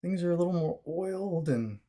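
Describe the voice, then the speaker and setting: A man with a raspy, chesty voice, recovering after a long fast, talking casually to his viewers in a rambling way with many fillers and restarts. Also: sits high, expressive, warm tone. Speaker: vlogger. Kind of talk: casual talk to camera.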